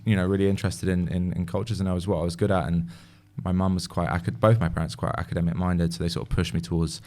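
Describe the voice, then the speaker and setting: Smooth, low-pitched man, early thirties, podcast guest, relaxed and rambling. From born in Paris, English parents. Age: 30s. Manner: relaxed, rambling, many fillers and hedges. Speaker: guest, music industry A&R. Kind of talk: casual podcast interview.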